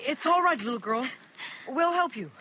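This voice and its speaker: A thirteen-year-old boy in a radio drama, urgent and protective. The voice is high and bright, on a slightly dull recording with faint noise. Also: expressive, normal speed. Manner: urgent, protective, hushed at times.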